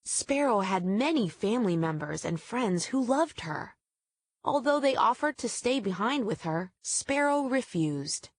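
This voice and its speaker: A woman's voice: on the high side, animated, unhurried, no echo. Woman reading a children's story, dry sound. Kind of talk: scripted children's story read-aloud.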